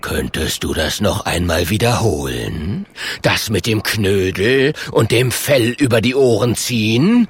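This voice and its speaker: A man voicing a huge, menacing cave bear in a children's story, with a deep, growly voice and a slow, threatening delivery. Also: lively intonation, breathy edge, exaggerated voice. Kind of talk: children's audiobook character voice.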